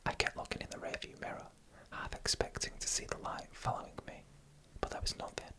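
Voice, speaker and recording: A narrator reading eerie stories for ASMR in a breathy, unvoiced whisper, hushed and deliberate, phrase by phrase.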